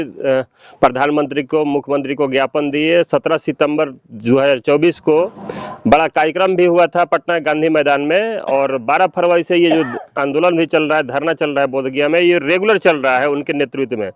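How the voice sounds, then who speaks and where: A male field reporter with a slightly high-pitched voice. He interviews on location, setting up questions and dropping in short affirmations as he listens. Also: moderate pace, clean tone, highs cut off.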